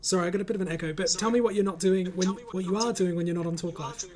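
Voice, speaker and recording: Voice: mid-range voice, very fast, darkish tone. A host of a casual online group chat, reading out comments and speaking in an encouraging, reassuring way over a voice call.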